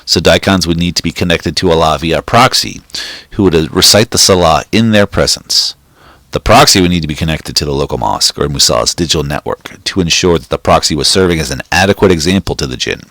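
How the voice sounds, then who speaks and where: A man with a very low voice reads a short story aloud in a steady, measured way. The recording is dry and close, with no room sound. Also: no echo. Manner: steady, measured reading with occasional slips. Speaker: reader.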